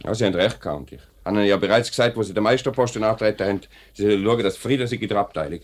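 An actor playing a courteous, firm factory director with a Swiss German accent in a 1950s radio play. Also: male voice, on the low side, racing, natural voice. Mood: conciliatory. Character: measured, authoritative male factory director, fair-minded boss.

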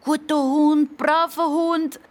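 An eager young child from a children's audio story, acted by a woman, high in pitch and slow in pace. The speech is childlike and exclaiming. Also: little pitch movement. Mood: delighted. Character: young children, a girl and a boy, adventurous friends.

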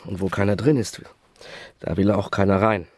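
Actor as worried lover, slightly deep voice. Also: male voice, steady tone, normal speed, no room sound, close to an everyday voice. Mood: worried, bitter. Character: worried, bankrupt male lawyer and secret lover. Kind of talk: radio crime drama acting.

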